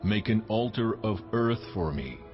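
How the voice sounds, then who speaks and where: A man playing God in a dramatized audio Bible, a solemn, commanding deity making slow, measured pronouncements. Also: fairly low pitch, animated. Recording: dull lo-fi audio under loud music.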